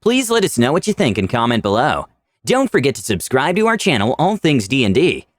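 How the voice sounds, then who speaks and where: Casting: man, mid-pitched, highly animated dramatic story narrator, dry studio sound. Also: a little fast, dry recording. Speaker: channel narrator. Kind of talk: dramatic scripted story narration in first person.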